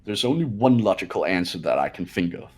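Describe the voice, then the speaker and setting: A man's voice: low-pitched, big pitch swings, brisk. A man voicing a haughty, cold young rich genius who makes accusing declarations in an amateur game dub. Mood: cool, explanatory.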